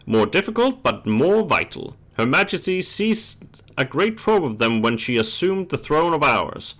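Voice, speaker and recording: A man reading as a fastidious female clock inspector. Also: on the high side, fairly bright tone. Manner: formal wording, halting read-aloud delivery. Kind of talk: reading game dialogue aloud.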